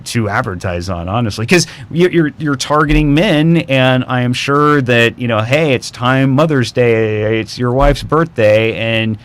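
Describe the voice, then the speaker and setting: A man's voice: medium pitch, unhurried, hardly any pauses. A man talking casually and conversationally. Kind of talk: casual reminiscing talk.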